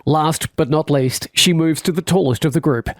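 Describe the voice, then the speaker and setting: A man's voice: on the high side, moderate pace, no room sound, clean studio sound, close to an everyday voice. A man playing a wry, chatty narrator of an audio drama, scripted but full of casual asides.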